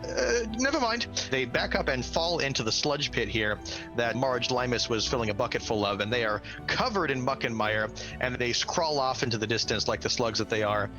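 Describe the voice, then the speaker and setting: A man's voice: mid-range voice, a little fast, music in the background, rough recording. A man narrating a tabletop role-playing game as game master.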